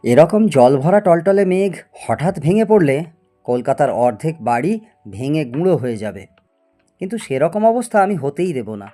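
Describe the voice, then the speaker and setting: A man's voice: on the high side, very animated, normal speed, warm tone, soft background music. A man acting a proud, obsessive middle-aged scientist in a Bengali audio story, theatrical and prone to stammer when agitated. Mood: boastful, assured. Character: proud obsessive male scientist, boastful and stubborn.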